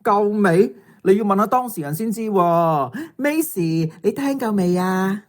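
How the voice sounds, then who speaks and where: A woman's voice: mid-range voice, lively intonation, unhurried, natural voice. A woman playing a mocking, bossy teenage schoolgirl with a Hong Kong Cantonese accent in an audio drama. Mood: taunting. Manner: taunting, theatrical delivery.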